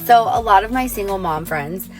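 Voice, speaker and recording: A woman's voice: medium pitch, moderate pace, soft background music. A woman telling a playful anecdote about her friends. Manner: storytelling, playful, laughs.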